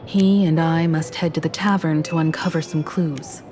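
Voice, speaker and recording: An actress playing a poised, mature woman who leads her companions with calm authority in a video game, speaking deliberately. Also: deep voice, music in the background, close to an everyday voice. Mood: grave, composed. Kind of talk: video game character dialogue.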